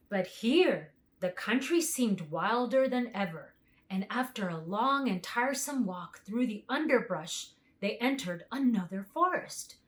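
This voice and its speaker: A woman reading a children's book aloud as a storyteller, with expressive narration. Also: medium pitch.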